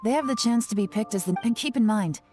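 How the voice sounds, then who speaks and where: An actress playing a composed, shrewd woman official in a video game, measured, businesslike and assured. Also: a little high, dark tone, soft background music, lightly acted. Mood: wry. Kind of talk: anime-style video game voice acting.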